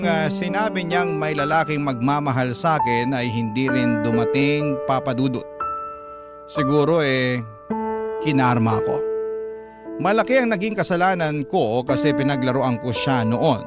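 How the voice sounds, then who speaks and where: A man with a Filipino accent narrating an emotional story in the style of a classic radio host. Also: fairly high pitch. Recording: loud music bed behind voice. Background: loud music.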